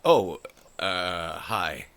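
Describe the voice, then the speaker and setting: A man's voice: low voice, very slow, fairly bright tone, exaggerated voice. A man playing a sheepish, wry young wizard's apprentice, narrating a comic audio drama in a theatrical, hesitant manner. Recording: clearly noisy background.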